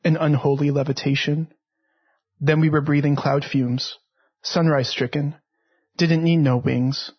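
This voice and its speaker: Male poet for a literary reading, rough-edged voice, even and restrained melody, slightly muffled audio. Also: medium pitch. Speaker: poet reading own work. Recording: dull, slightly lo-fi recording.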